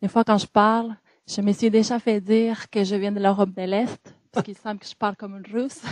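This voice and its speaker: A woman's voice: medium pitch, level tone, a little fast, a little mellow, no room sound. A woman with a Spanish accent, a native Spanish speaker, making an earnest, careful comment from the floor. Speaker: audience member.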